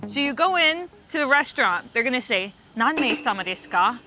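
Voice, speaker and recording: Bright-voiced American woman explaining. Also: high voice, lively intonation, fairly quick, loud music behind the voice. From United States. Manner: friendly, explains patiently, laughs easily. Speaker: guest language guide. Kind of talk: casual explanatory chat.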